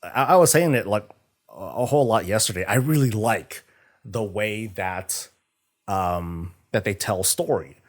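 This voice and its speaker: A man chatting casually on a gaming livestream, halting and often stumbling over repeated words. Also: on the low side. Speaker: streamer, host of a morning show. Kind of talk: casual livestream chat about games.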